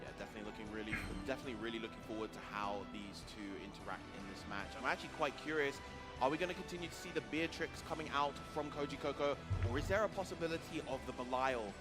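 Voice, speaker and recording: A man's voice: mid-range voice, very fast, loud music behind the voice. A man doing live esports commentary at a tournament, speaking effusively and full of superlatives. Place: event stage with audience, heard through a stream.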